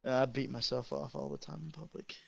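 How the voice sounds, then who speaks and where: A teenage man, a high school football player, casually telling crude, joking, rambling stories to a friend. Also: fairly even intonation, brisk, gravelly, husky, no echo, muffled recording.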